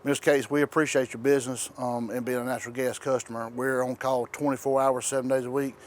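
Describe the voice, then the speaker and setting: A man's voice: mid-range voice, little pitch movement, fast, a little hiss or hum. A man talking plainly to a customer as a gas service technician, courteous and reassuring. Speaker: natural gas utility technician.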